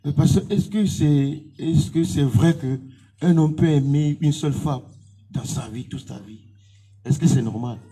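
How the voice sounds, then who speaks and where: Male interviewer; slightly higher-pitched, breathy, very soft-edged tone, informal and probing. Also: unhurried. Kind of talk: conversational interview questions.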